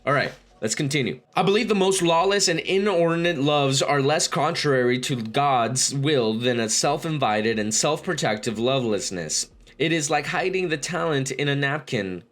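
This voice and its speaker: A man's voice: mid-range voice, a bit bright. A man reading a book aloud as a read-along host, with informal, slangy commentary. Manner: profane, informal asides with bro slang.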